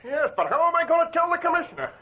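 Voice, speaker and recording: An actor playing a gruff, exasperated jail guard in an old Australian radio drama, blustering. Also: male voice, extremely high pitch, sing-song, very fast, loud music behind the voice. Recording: muffled, noisy vintage radio recording.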